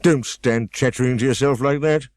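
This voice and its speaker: A man's voice: mid-range voice, fairly even intonation, a little mellow, dry recording, clean studio sound, voice pushed up, lightly acted. Man putting on a pompous, touchy egg on a wall. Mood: grandiose. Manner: grand, boastful, quick to take offence.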